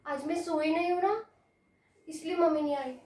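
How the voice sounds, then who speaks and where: A woman's voice: high voice, normal speed, darkish tone, slight background noise, lightly acted. A woman playing a disturbed, innocently confused girl in a dramatic monologue, with a childlike, plaintive, repetitive delivery. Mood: pleading, confused. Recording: echoey room, distant microphone.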